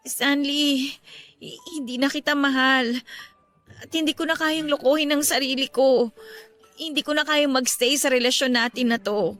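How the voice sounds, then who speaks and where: A woman's voice: sits high, steady tone, moderate pace, long pauses, fairly bright tone, faint music behind. A woman playing a guarded, irritable young woman in a Tagalog radio romance drama, speaking with emotional emphasis. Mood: grave, hesitant.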